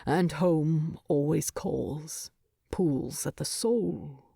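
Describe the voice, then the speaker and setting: Female narrator as male dwarf king, low gruff voice, proud, heartsore, formal halting phrasing, fantasy storybook, dry sound. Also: dark tone, no echo, full character voice. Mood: resigned, pained. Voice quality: deep voice. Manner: halting, formal, heavy with feeling.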